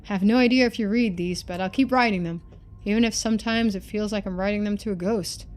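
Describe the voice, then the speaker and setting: A woman's voice: medium pitch, brisk, a little mellow, natural voice. A woman voicing a loving, lonely middle-aged father in a story game, reading his letters in an earnest, folksy manner. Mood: wistful.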